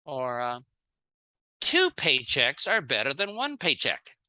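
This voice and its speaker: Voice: medium pitch, big pitch swings, takes it slowly, sharp tone. A speaker giving a sales training seminar in short plain phrases, acting out little example dialogues. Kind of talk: seminar talk in short phrases, paused for an interpreter.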